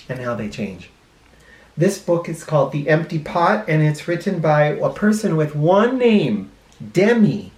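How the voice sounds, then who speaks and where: A male teacher reading a picture book aloud to children expressively, pausing dramatically and explaining words in simple terms. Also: fairly high pitch. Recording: roomy, echoing room sound.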